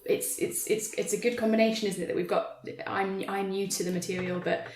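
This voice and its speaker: A woman's voice: mid-range voice, steady tone, a little fast, darkish tone. A woman in a thoughtful, unscripted discussion, talking in a tentative, reflective way and hedging as she goes. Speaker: theatre practitioner in a panel conversation. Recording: roomy, echoey sound.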